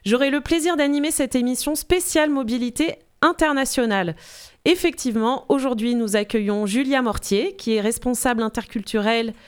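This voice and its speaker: A woman's voice: fairly high pitch, clean tone. A woman hosting a local radio interview program, introducing guests in a friendly, lightly scripted way. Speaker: radio show host.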